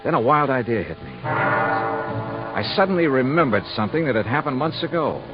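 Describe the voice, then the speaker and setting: An actor playing a shrewd, hard-boiled insurance investigator in a 1950s radio drama, with a clipped, wry, insistent delivery. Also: male voice, medium pitch, animated, moderate pace, lightly acted. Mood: wry, brisk. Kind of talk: 1950s radio detective narration and acting. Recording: muffled vintage radio recording, music behind.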